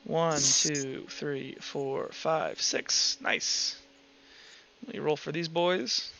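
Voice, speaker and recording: A man's voice: on the high side, steady tone, drawn-out words, creaky, noisy background. A man running a tabletop roleplaying game, narrating off the cuff and casually thinking aloud. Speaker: game master. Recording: dull lo-fi voice track with music. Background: music.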